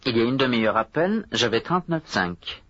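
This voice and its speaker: Husky man in a dull dry recording. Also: mid-range voice, sing-song, fairly bright tone, dry recording. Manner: careful, measured, clearly articulated repetition. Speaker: language course model speaker. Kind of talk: scripted language drill responses.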